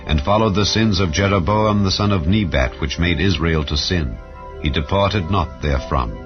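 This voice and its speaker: A man giving a measured, solemn scripture reading over soft background music. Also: low voice, lo-fi sound. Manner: measured, solemn reading with rising and falling phrases. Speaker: Bible reader.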